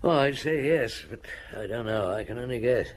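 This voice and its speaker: Guy acting calm veteran detective chief in a radio drama, short musing questions, bit of noise behind. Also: mid-range voice, moderate pace, lightly acted. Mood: probing, polite. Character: seasoned police chief inspector, calm, probing, unhurried.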